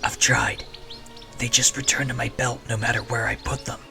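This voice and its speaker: A man's voice: mid-range voice, level tone, normal speed, gravelly, bright, forceful tone, faint music behind. A man playing a rattled cursed rogue with a dry temper and a hesitant, weary way of talking, in a fantasy audio drama. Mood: weary, rueful.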